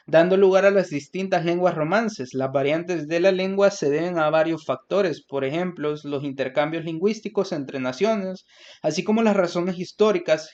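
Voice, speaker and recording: A young man from El Salvador with a Salvadoran Spanish accent, giving a class presentation and explaining from his notes with many fillers and restarts. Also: a little high. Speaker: student presenter. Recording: lo-fi muffled recording.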